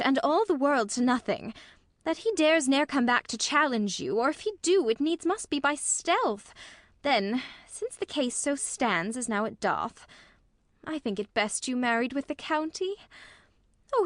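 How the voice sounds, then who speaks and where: A woman's voice: high voice, lively intonation, unhurried, no room sound, studio quality. A woman playing a chatty, practical nursemaid in a Shakespearean dramatic reading, gossipy and persuasive. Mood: coaxing, gossipy. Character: chatty, practical nursemaid coaxing her young mistress.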